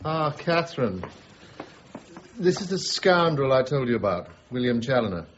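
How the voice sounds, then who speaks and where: An actor in a period radio drama playing a genteel, soft-hearted lord, clipped and patrician but hesitant when pressed. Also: male voice, mid-range voice, very animated, moderate pace, highs cut off, slight background noise, lightly acted. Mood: dryly unwelcoming.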